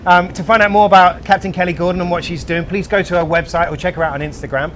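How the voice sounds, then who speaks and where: A man's voice: sits high, fairly even intonation, quick pace, fairly bright tone, a little hiss or hum. A man hosting an interview outdoors at a boat show, friendly and encouraging toward his guest. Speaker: interview host, chef. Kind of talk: casual interview chat.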